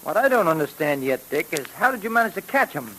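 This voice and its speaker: An eager, loyal police sidekick talks quickly in a high voice. The acting is 1930s radio serial style, on an old hissy broadcast recording. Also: male voice, sing-song, sharp tone, rough recording. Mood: curious.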